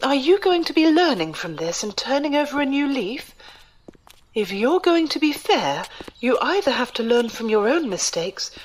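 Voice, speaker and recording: An actress voices a caring young woman warrior in an audio drama. Her voice is high and lilting, earnest and gently chiding, with faint noise behind it. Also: sing-song, normal speed, poor audio. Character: Sister Cat, caring, level-headed young woman fighter.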